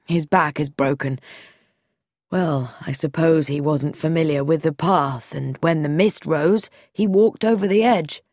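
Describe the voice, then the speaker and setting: Female narrator playing a middle-aged country doctor, lowered voice, grave and slow, dry studio read. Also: a little mellow, no echo. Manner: low, grave and measured.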